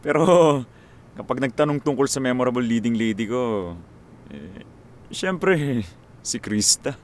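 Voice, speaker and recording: A man acting in a Filipino radio drama as a famous action star, sentimental and devoted to his former screen partner, speaking in a sincere, halting way. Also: mid-range voice, animated, slight background noise, natural voice. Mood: proud, fond. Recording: music behind the voice.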